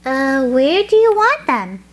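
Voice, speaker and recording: A woman reading a children's picture book, voicing a cheerful, matter-of-fact little girl pig with playful, drawn-out delivery. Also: high-pitched, big pitch swings, slight background noise, heavily acted. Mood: helpful. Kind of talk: expressive children's read-aloud.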